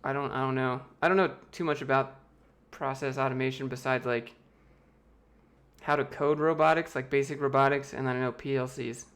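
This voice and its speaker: An American man chats through viewer questions on a live stream from a room at home, speaking into a desk mic. His delivery is loose and offhand, with quick jokes between answers. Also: medium pitch, steady tone, a bit bright. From United States. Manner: loose, joking, reads chat questions aloud and answers offhand. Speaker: YouTube host answering chat. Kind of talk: casual livestream Q&A.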